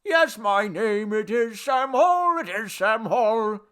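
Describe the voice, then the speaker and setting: A man voicing a tipsy, boastful old Babylonian idol god from a story, with a slurred, booming, folksy drawl. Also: big pitch swings, slow pace, a bit bright, heavily acted. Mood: drunken singing.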